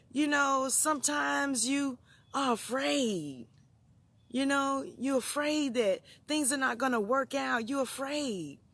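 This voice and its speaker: A middle-aged woman speaks in a bright, clearly projected voice at an unhurried, slow pace. She sounds like a motivational host on an informal live stream, addressing listeners directly. Her delivery is personal and reflective, with halting phrases and frequent pauses. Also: on the high side. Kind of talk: informal inspirational live talk to viewers.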